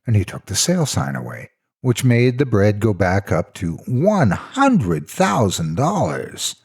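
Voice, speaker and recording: A man's voice: lowish voice, huge pitch swings, unhurried, a little mellow, slightly rough. A man narrating a scripted story in a theatrical, mock-serious storytelling style. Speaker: narrator of horror stories.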